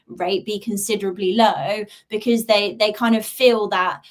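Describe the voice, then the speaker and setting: A woman gives practical advice as a guest expert in an online session. Her voice sits at a middle pitch, and she explains each point in an encouraging, conversational manner. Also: fairly even intonation, normal speed.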